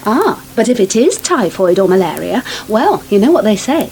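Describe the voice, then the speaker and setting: A woman's voice: medium pitch, very animated, moderate pace, a little mellow. An actress playing a wry, fed-up woman who grumbles about menfolk in a naturalistic radio soap. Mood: dryly amused. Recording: noisy 1980s radio broadcast.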